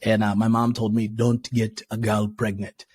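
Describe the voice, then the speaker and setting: A young man from Tanzania, a podcast guest, chatting casually and acting out quotes with sudden jumps in pitch, laughing often. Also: little pitch movement, moderate pace, a little mellow, slightly rough. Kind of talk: casual interview chat.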